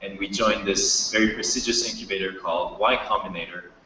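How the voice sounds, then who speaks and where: A man's voice: low-pitched, steady tone, fairly quick, bright, forceful tone, a little hiss or hum. A man giving a casual startup talk to students, telling his own story. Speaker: startup co-founder speaker. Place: hall with a live audience. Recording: echoey hall, mic from far off.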